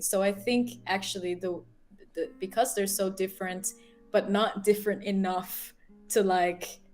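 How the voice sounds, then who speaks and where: A woman co-hosting a casual chat, explaining things in an animated way with many fillers. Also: medium pitch. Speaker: co-host.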